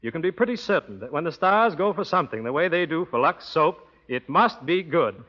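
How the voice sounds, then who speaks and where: A man's voice: fairly high pitch, expressive, brisk. An American man giving a scripted promotional talk as a guest expert on 1930s radio. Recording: muffled old radio broadcast.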